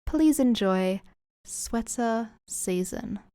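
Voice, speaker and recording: A woman's voice: a little high, lively intonation, very slow, warm tone, clear, resonant tone, dry recording. A woman reading a scripted story as the narrator of an audio fan fiction.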